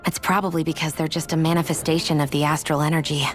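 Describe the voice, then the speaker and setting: A woman's voice: low-pitched, flat delivery, quick pace, soft background music, lightly acted. An actress playing a proud, guarded young woman in a video game, cool and self-possessed. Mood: reserved, matter-of-fact. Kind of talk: dramatic video game voice acting.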